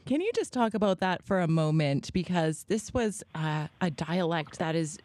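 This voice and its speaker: Low-voiced woman host, dry studio sound. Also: expressive, normal speed, darkish tone, no echo. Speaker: radio host. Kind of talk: interviewing a guest.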